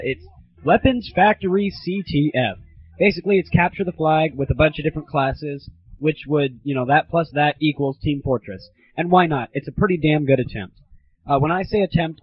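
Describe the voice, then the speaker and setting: A young man hosting a gaming audio show with casual, opinionated reviews in an irreverent, slangy way on a lo-fi recording. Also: fairly high pitch. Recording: slightly dull lo-fi home recording.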